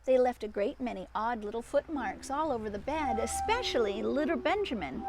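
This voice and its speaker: High, animated woman reading a storybook. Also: moderate pace, heavy background noise. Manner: expressive, with chatty asides to young listeners. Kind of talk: animated children's storybook read-aloud.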